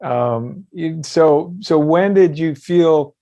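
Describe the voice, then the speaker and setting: A man's voice: on the high side, takes it slowly, gravelly, warm tone, no room sound. A man hosting a conversational interview show, affirming his guest and feeling his way through his phrasing with some hesitation.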